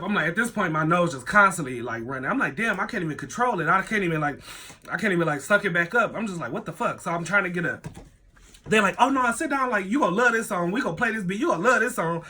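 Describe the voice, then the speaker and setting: A young man with a stuffy nose, telling a personal story in a casual vlog, animated, full of slang and "like", swearing freely. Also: husky. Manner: animated, acts out his own thoughts in a higher voice.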